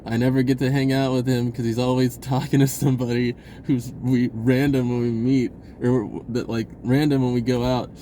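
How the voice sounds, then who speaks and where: A man's voice: medium pitch, flat delivery, normal speed, few pauses, dark tone, slight background noise. A man telling a personal story in a casual, rambling, slangy way and acting out bits of dialogue. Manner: informal slangy speech, acts out dialogue. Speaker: vlogger recounting personal experiences.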